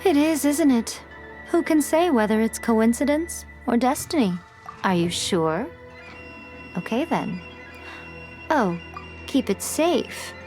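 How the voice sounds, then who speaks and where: A woman playing an elegant fox spirit shrine maiden in a video game, speaking in a measured, formal, knowing and teasing way. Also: fairly high pitch, animated, darkish tone. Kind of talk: dramatic game dialogue acting. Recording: music behind the voice.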